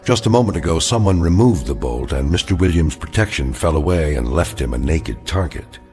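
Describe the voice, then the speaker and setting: An actor as a grave, ominous storyteller, narrating a radio drama in a deliberate, portentous manner. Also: male voice, extremely low pitch, lively intonation, a little mellow, soft background music.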